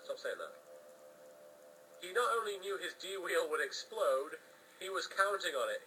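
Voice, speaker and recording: A man's voice: on the high side, bright, forceful tone, far from the mic, slight background noise, poor audio. A man giving a comedic dramatic reading of fan fiction, theatrical in delivery, with wry joking asides.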